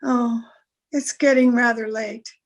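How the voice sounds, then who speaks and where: A woman's voice: on the high side, fairly even intonation, slow. An actress playing a mysterious, anxious married lady in an old-time radio crime drama, speaking hesitantly. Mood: guarded. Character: mysterious, frightened, refined married woman.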